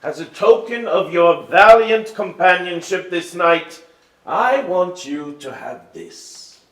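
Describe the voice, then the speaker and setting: Man playing a high-voiced, sweeping, kindly storybook king. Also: lively intonation, takes it slowly, a bit bright, full character voice. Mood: warm, solemn. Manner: formal, earnest, declamatory. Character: kindly, weary king, grand and benevolent. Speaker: actor in a staged musical reading. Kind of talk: theatrical stage acting. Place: indoor hall, live staged reading. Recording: roomy live stage pickup.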